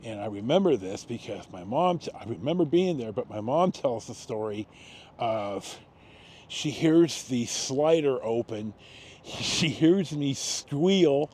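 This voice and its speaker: An American man in his sixties telling a personal story casually, rambling with long pauses and self-corrections. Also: medium pitch, darkish tone. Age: 60s. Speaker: video storyteller. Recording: noticeable background noise.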